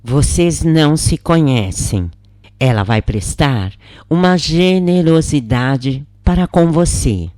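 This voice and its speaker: A woman with a Brazilian accent voicing a calm, solemn spirit mentor explaining a coming birth in a story. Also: low-pitched, takes it slowly, no room sound, clean studio sound. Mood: explanatory.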